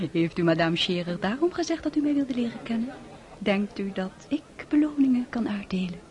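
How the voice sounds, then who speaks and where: Actress as a coy, flirtatious countess. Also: female voice, mid-range voice, big pitch swings, moderate pace, darkish tone, close to an everyday voice. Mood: coy, teasing. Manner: coy, teasing. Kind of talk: radio drama acting.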